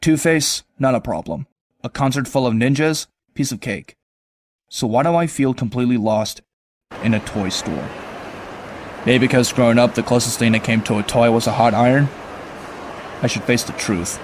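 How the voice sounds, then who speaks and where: A medium-pitched male antihero, acted by a man for a motion comic. He is a sardonic young loner, world-weary and conversational, with a wry edge as he narrates or talks. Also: normal speed, natural voice. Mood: self-doubting. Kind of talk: first-person narration and dialogue, motion comic.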